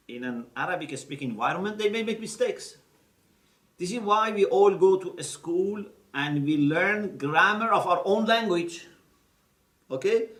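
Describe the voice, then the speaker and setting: A man's voice: a little high, a bit bright. A man giving a teaching lecture, explaining step by step and checking in with his listeners. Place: lecture room. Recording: roomy echo, mic at a distance.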